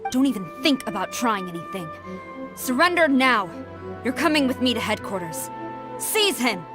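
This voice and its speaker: A woman's voice: fairly high pitch, very animated, moderate pace, over music. A woman playing a stern, proud, loyal army general in an anime video game, formal and commanding.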